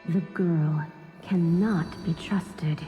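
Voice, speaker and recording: A woman voicing an ominous otherworldly presence in a video game, solemn and halting. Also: on the low side, fairly even intonation, mellow. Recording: cavernous echo, music underneath.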